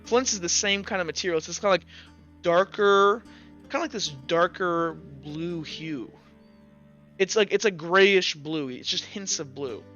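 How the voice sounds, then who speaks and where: Halting man narrating, bright high-set voice. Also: dull audio. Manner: halting, repeats words while searching for description. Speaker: game master. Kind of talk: improvised tabletop game narration. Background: music.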